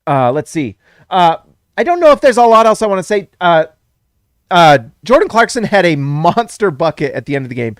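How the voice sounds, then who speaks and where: A man hosting a casual basketball reaction, excitable and emphatic, repeating lines and correcting himself, slightly hoarse. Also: sits high, normal speed, no room sound, clean studio sound. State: losing his voice. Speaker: sports channel host.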